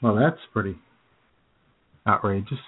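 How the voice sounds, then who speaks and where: A man's voice: fairly low pitch, measured pace, husky. Laid-back male co-host, tinny sound. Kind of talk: casual radio banter. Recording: tinny phone-like audio.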